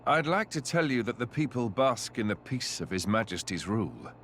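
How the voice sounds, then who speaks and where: Game cutscene hero: earnest young noble, animated pitch, faint hum behind. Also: male voice, normal speed. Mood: frank, guarded. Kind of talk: video game cutscene acting.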